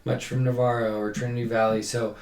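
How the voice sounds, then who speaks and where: A man's voice: mid-range voice, little pitch movement, fairly quick, darkish tone. A man co-hosting a sports podcast, chatting casually as a coach recapping competitions and giving opinions. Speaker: cheerleading podcast co-host and coach. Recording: roomy, echoey sound.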